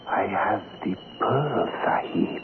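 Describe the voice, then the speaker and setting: Slow, gravelly colonial schemer. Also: male voice, low-pitched, expressive, full character voice. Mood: sly. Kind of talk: 1970s radio drama acting. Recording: lo-fi old radio broadcast, clear noise.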